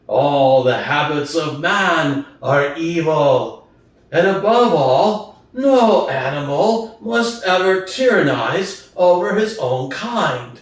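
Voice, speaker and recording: A man voicing an aged, hoarse boar who gives solemn, fervent revolutionary speeches in a storybook reading, slowly and emphatically. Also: a little high, heavily acted. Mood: stern, fervent. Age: older. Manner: slow, emphatic oratory with weighty pauses. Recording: distant, very echoey room.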